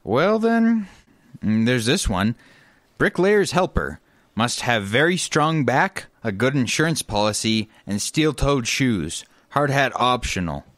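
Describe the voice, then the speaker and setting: A man's voice: medium pitch, big pitch swings, slow pace, fairly bright tone, no room sound. A man acting in a family radio comedy as an eager, helpful brother full of inventive ideas. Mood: encouraging, playful.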